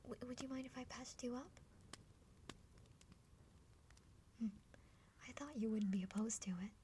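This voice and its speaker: A woman voicing a friendly, curious younger half-dragon sister in a breathy, chatty whispered ASMR roleplay. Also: a little high, fairly quick, dark tone, natural voice. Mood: friendly, helpful. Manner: chatty, playful, hesitant stammers. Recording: faint background noise.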